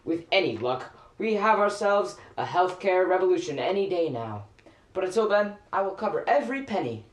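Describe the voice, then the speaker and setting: A performer playing a gruff but earnest, commanding boss in an amateur radio play, speaking in an emphatic, declamatory way. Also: medium pitch, sing-song, normal speed, reverberant, close to an everyday voice. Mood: earnest, resolute.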